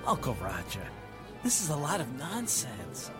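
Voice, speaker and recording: A man's voice: a little high, wildly swooping pitch, a little slow, over loud music. A man playing a skeptical, impatient nephew in a radio drama, blunt and scornful.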